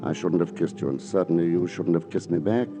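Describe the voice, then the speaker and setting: An actor playing a suave, wistful, teasing painter in a 1940s radio romantic comedy, with a wry, hesitant delivery. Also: male voice, deep voice, steady tone, fast, close to an everyday voice. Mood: tender, regretful. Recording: dull vintage radio recording, music behind.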